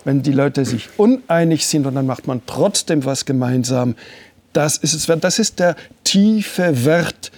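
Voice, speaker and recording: An older man whose native language is French, giving measured, deliberate answers as an interview guest and slowing down to stress his points. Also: on the high side, lively intonation, darkish tone, no echo. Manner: measured, deliberate, slows down for emphasis.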